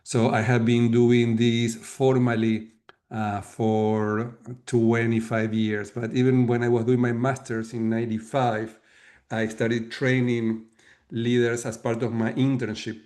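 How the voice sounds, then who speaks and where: Middle-aged Argentine man, Spanish-accented English, steady flat delivery, methodical guest speaker. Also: mid-range voice. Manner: measured, explanatory, frequent fillers and restarts. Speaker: guest author and leadership coach. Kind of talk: webinar talk.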